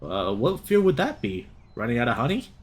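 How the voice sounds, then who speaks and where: A man voicing a folksy young male fox in a visual novel, jokey but quick to get defensive. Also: medium pitch, huge pitch swings. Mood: joking.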